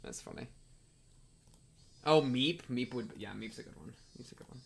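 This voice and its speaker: A man hosting a live game playthrough, excitable and given to repeating his phrases, bantering with chat and reading game text aloud. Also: mid-range voice, normal speed, gravelly. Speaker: livestream host.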